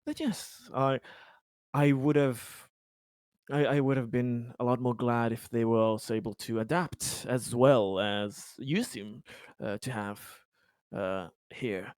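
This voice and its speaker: A man's voice: medium pitch, fairly even intonation, slow pace, darkish tone, no room sound, studio quality, lightly acted. A man playing a courteous, eager-to-please council official in a tabletop game, speaking in a polite, rambling, stammering way. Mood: polite, welcoming. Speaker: tabletop roleplay player. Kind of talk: improvised in-character tabletop dialogue.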